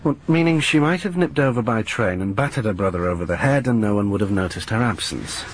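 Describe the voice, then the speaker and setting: A man's voice: lowish voice, fast, lightly acted. Guy doing a chatty reporter, rambly and bouncy, bit of hiss behind. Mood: lively. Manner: rambling, lively storyteller. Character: chatty young male reporter. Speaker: journalist.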